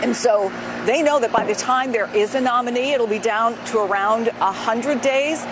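A woman political reporter giving a live remote report, speaking emphatically and deliberately. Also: on the high side, background noise.

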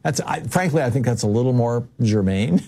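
An older man hosting a political call-in radio show, speaking in a measured way that drops low for emphasis, with mocking lifts in his asides. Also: expressive, mellow.

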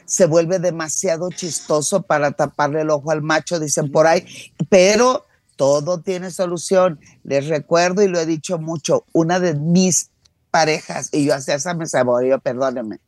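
A woman's voice: deep voice, darkish tone, no room sound, clean studio sound. A middle-aged woman, giving conversational expert advice and repeating words emphatically for stress. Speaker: sexologist, expert guest.

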